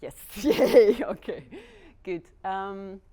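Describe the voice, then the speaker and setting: A woman from Lithuania with a Lithuanian accent, giving an informal lecture to dance students, hesitant, with many pauses and restarts. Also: medium pitch, animated, dark tone. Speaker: guest lecturer, artistic director.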